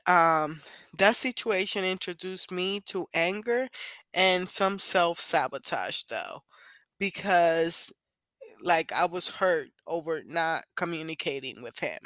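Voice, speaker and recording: A woman's voice: on the low side, lively intonation, slow pace, a bit bright, no room sound. A woman in her thirties from Puerto Rico, a native Spanish speaker with a Puerto Rican accent, hosting a casual, candid solo podcast. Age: 30s. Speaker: podcast host.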